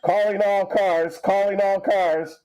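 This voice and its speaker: A man's voice: sits high, fairly even intonation, slow, warm tone, low-quality recording. A man playing an urgent police dispatcher in an old-time radio drama. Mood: urgent, official. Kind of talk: 1930s radio drama acting.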